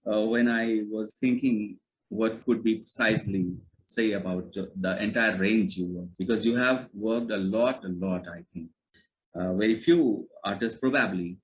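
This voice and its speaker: A man's voice: fairly low pitch, low-quality recording. A man, a Hindi speaker, interviewing and thanking his guest in a polite, formal way. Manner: polite, formal, many soft affirmations.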